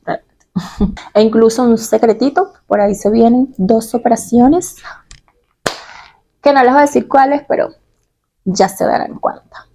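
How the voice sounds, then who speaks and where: A woman with a Caracas Venezuelan accent talks casually, as if confiding in her followers. Her voice is mellow and relaxed, with plenty of vocal fry at a medium pitch. She is expressive and giggly, drawing out words for emphasis. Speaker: vlogger answering personal questions. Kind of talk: casual, chatty personal vlog.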